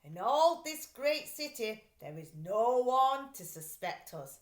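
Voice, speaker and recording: A woman's voice: a little high, very animated, slow pace. Woman as a cocky, emphatic male thief sidekick. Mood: smug. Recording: roomy echo.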